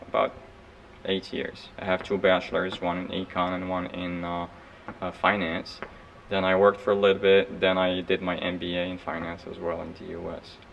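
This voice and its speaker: A man, speaking English as an in an interview, measured with frequent pauses. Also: low voice, monotone, vocal fry, a bit bright, muffled recording, a little hiss or hum. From Morocco. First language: not English. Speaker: interviewee, US-educated in finance. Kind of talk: interview answer.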